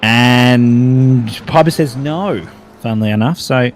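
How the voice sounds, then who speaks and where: A man's voice: mid-range voice, takes it slowly, slight background noise. Australian male race caller, mid-range voice, rolls through gaps and rises with the battles. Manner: running through gaps between cars, lifting with the action. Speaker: race commentator. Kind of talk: sim racing commentary.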